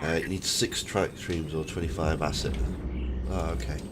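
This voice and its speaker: A man's voice: a bass voice, fairly even intonation, moderate pace, heavy background noise. A man chatting casually while playing a video game. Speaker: game streamer. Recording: music behind the voice. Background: music.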